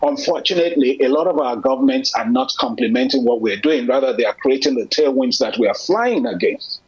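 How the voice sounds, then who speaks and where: A man in conversation, speaking in an earnest, measured, persuasive way. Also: medium pitch, husky. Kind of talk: conversational discussion. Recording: lo-fi muffled audio.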